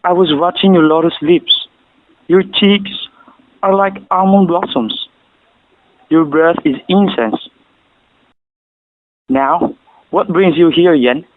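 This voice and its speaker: A man's voice: on the high side, slow, pauses often. A man playing a proud, idealistic emperor in a stage drama, delivering courtly lines in a grand, formal manner. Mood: tender, courtly. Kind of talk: stylized stage drama recital of poetic lines. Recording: muffled phone-like audio.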